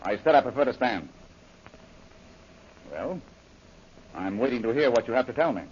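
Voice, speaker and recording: An actor playing a blunt, defiant jungle adventurer in a 1930s radio serial, curt and plain-spoken, with a husky voice. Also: male voice, mid-range voice, lively intonation, normal speed. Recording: dull lo-fi old radio recording.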